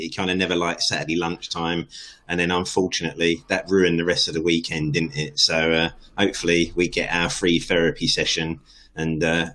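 A man's voice: sits low, fairly bright tone. A man co-hosting a football podcast, rambling on with lots of "didn't it?" tag questions. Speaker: podcast co-host. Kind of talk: casual football podcast chat.

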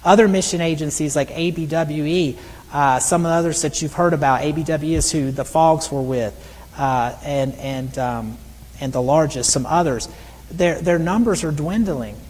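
A middle-aged male missionary preacher with a soft, high voice that is often taken for a woman's, telling stories in a conversational sermon with frequent restarts. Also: moderate pace. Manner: conversational storytelling with repeated restarts. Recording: long hall reverb.

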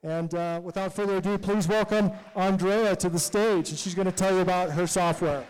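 A man's voice: sits high, fairly even intonation, brisk, darkish tone, slight background noise, rough recording. A man hosting a startup event, introducing the next speaker in an upbeat, informal and proud way. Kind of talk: stage introduction.

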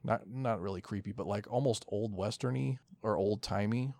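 A man's voice: on the low side, moderate pace, gravelly, darkish tone, no room sound, clean studio sound. A man co-hosting a podcast in casual chat, rambling and swearing freely as he goes. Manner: rambling, profane. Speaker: podcast co-host.

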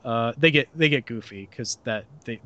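A man's voice: medium pitch, moderate pace, a bit bright, dull audio. A young man hosting a comedy podcast, reading a film synopsis aloud and breaking off into laughter and sarcastic asides. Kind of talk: casual comedic podcast chat.

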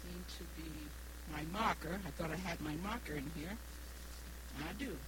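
A woman in her sixties with a New England accent preaches haltingly, repeating phrases and stressing key words. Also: lowish voice. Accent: New England American. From Rhode Island, United States. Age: 60s. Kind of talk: evangelical sermon with personal testimony. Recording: noisy live recording with hum.

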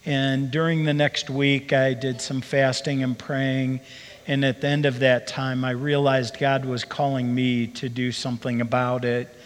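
A man's voice: mid-range voice, little pitch movement, a little slow. A man, the founder of a ministry, earnestly telling his calling story in an interview. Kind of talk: personal testimony. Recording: long reverb of a hall.

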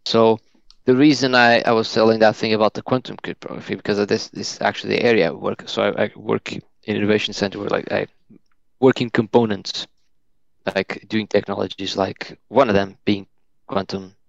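A man's voice: on the low side, fairly even intonation, moderate pace, creaky, dry recording. A man from Portugal, a Portuguese native, chatting as a podcast guest, hesitant with many fillers and restarts. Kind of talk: casual chat. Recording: dull lo-fi dry sound.

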